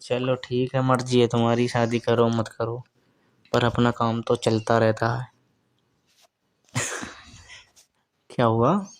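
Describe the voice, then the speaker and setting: A man's voice: mid-range voice, monotone, slow, pauses often. A middle-aged man in a casual personal call, talking in a teasing way and often repeating his phrases.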